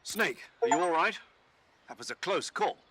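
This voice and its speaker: An actor playing an earnest, formal older male military commander in a video game, explaining things in a measured way. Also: fairly high pitch, animated, pushed and bright, slight background noise. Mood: concerned.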